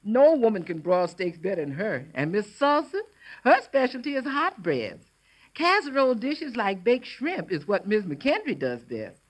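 An actress playing a folksy, opinionated housekeeper in a 1940s radio commercial, speaking emphatically. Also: female voice, mid-range voice, very animated, moderate pace, rough recording. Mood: cheerfully emphatic.

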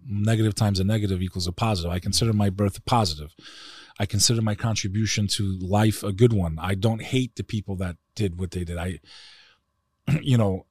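A man with a very deep voice and a New York accent, speaking as a podcast guest in passionate, emphatic conversation. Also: no echo. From United States.

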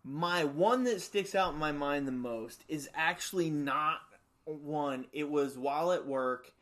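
Middle-aged guy, high and light voice, swaps loose, laughing anecdotes as a guest. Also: a little slow. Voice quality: high-pitched voice for his size. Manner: animated storyteller, acts out quotes with laughs. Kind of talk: casual storytelling chat.

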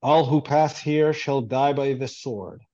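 A man's voice: medium pitch, takes it slowly, warm tone, dull audio, natural voice. A man reading as a stern wartime sentry. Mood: challenging. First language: Hebrew. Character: stern wartime sentry guarding a post, male.

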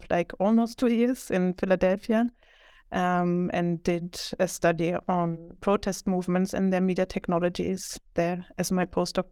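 A woman gives academic interview answers in a thoughtful, measured way. Her fairly low voice is smooth and clear, with little rise and fall. It is recorded close and dry. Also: mellow, no room sound. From Germany. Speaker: author, media scholar.

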